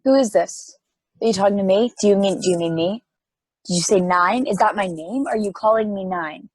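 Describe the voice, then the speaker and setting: A woman's voice: mid-range voice, fairly quick, a little mellow, dry recording, lightly acted, low-quality recording. A woman playing a lonely, frightened space probe in a play table read, hesitant and pleading. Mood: nervous, confused.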